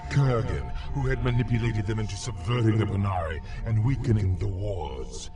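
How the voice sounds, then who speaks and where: An actor playing an ancient human necromancer villain in a video game, delivering solemn, theatrical monologues in a grandiose, coldly brooding manner. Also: male voice, lowish voice, animated, loud music behind the voice, close to an everyday voice. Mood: bitter, proud. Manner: solemn, theatrical, deliberate oratory.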